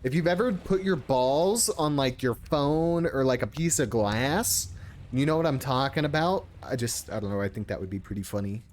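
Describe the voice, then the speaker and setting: Young guy streamer chatting over a game, really animated, jokey n sweary, bit of background noise. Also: mid-range voice, moderate pace. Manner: crude, joking, profane. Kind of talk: casual live game commentary.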